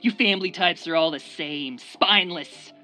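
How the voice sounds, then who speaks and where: A man's voice: sits high, moderate pace, pushed and bright, soft background music. A man playing a ruthless, unhinged gang boss, cornered and bitter, volatile and contemptuous, in a crime radio drama.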